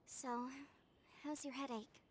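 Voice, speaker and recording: A woman's voice: high-pitched, fairly even intonation, takes it slowly, a little hiss or hum. A woman voicing a protective, frank young sister in a video game. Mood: concerned, frank. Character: caring, bossy younger sister.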